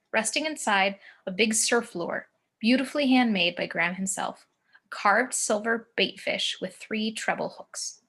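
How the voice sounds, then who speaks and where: A woman's voice: mid-range voice, fairly bright tone, breathy edge. A woman reading scene descriptions and action lines as the narrator of a screenplay table read.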